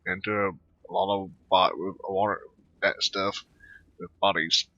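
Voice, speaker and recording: A man's voice: sits low, little pitch movement, measured pace, bright, forceful tone, no room sound, muffled recording. A man hosting a gaming video, casually commenting as he plays and reading dialogue choices aloud.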